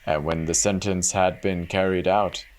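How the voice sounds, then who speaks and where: A man's voice: deep voice, flat delivery, normal speed, a little hiss or hum. A man giving a dramatic reading of a fairy tale as a storyteller.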